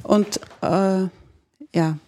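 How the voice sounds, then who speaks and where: A middle-aged woman with a Dutch accent, an ergonomist explaining her work in a relaxed interview and laughing easily. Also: mid-range voice, very slow. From Netherlands. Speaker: ergonomist, guest. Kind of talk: casual explanatory chat.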